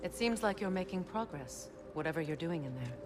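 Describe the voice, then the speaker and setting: An actress playing an earnest, steady woman leader in a video game, speaking gently and deliberately as an encouraging ally. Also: fairly low pitch, music in the background, close to an everyday voice. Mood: sincere, reassuring. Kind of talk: cinematic video game acting.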